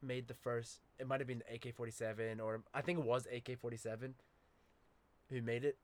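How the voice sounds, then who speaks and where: A man's voice: mid-range voice, little pitch movement, a little fast. A young man from Iran in casual chat, enthusiastic and agreeable.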